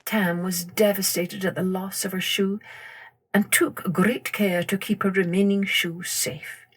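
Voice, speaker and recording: A woman storyteller reading a scripted children's tale in a deliberate, expressive manner. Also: medium pitch, breathy edge. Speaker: storyteller, podcast host.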